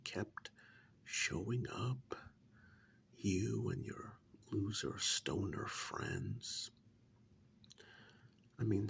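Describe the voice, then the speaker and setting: A man playing a coldly patronizing serial killer in a soft-spoken ASMR role-play, breathy and half-whispered, with condescending, deliberate delivery. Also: medium pitch, heavily acted. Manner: slow, deliberate, condescending, drawn-out pauses. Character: menacing male serial killer, coldly patronizing to his captive.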